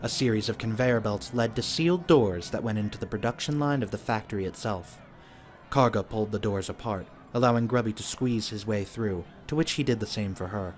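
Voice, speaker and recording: A man's voice: on the low side, brisk. A man reading scripted narration for an audio drama. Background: music.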